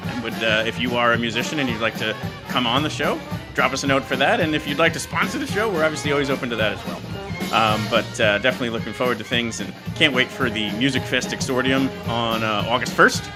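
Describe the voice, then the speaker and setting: A man hosting a music podcast, talking casually and haltingly as he thinks aloud. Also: medium pitch. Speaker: podcast host.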